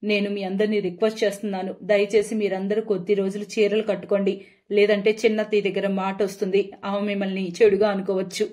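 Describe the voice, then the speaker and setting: A woman voicing a middle-aged mother-in-law in a cartoon story, kindly but firm, coaxing and measured. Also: mid-range voice, level tone, warm tone, natural voice.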